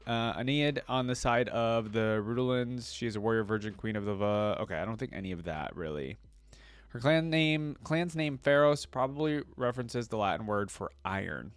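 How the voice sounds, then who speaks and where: A man with a medium-pitched voice gives informal reaction commentary in a dry-sounding space. He reads aloud, then drifts into offhand remarks, thinking out loud as he talks to his viewers. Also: no room sound. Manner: informal, thinking aloud, often checking in with listeners. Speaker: video creator reacting to game character lore.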